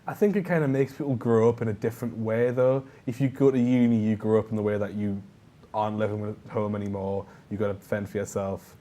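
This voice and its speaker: Mellow, low-voiced young North East English man. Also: steady tone, quick pace. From Northern England. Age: young adult. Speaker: student radio show co-host. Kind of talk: casual chat.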